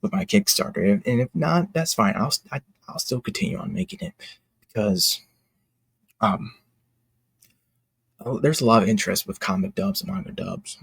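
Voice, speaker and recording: A man giving casual behind-the-scenes commentary as an indie creator, talking in a halting, rambling, enthusiastic way. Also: fairly low pitch, expressive, vocal fry, slightly rough, no echo. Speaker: indie comic creator and video host.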